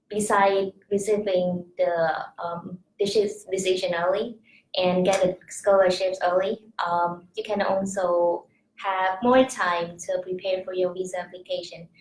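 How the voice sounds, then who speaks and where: Young woman from Vietnam, student panelist, measured delivery, roomy sound. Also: mid-range voice. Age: young adult. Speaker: admissions student worker. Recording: echoey room with faint noise.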